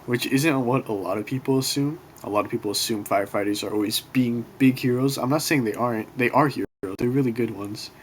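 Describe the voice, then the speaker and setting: A young man giving a casual class presentation from his bedroom, talking quietly and apologizing as he rambles a bit. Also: mid-range voice. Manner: quiet, self-conscious, apologetic, loose and rambling. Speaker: student presenting a class project. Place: bedroom in an apartment next to a street. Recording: faint street noise.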